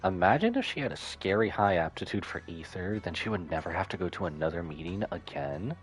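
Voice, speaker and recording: A man's voice: low voice, animated, fairly quick, low-quality recording. A man giving casual, slangy, exclamatory commentary while playing through a game. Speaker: streamer. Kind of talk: casual game playthrough commentary, reading some text aloud. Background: game music.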